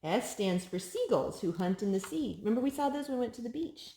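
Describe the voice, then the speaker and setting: Expressive woman reading aloud, high lively voice. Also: a little fast, echoey room, a little hiss or hum. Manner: expressive, sing-song delivery. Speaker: children's storytime host. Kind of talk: reading picture books aloud to a small child.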